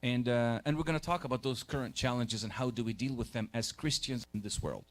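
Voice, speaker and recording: Egyptian-born man, forties, church lecturer, relaxed and chatty, mid-pitched voice. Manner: conversational, pauses to rephrase, takes questions. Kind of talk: church lecture to a live group. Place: church hall.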